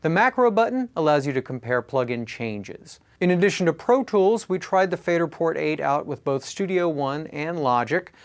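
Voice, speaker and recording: A man presenting a scripted product review in a clear, measured, instructional way, leaning on the openings of his phrases. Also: a little high, lively intonation.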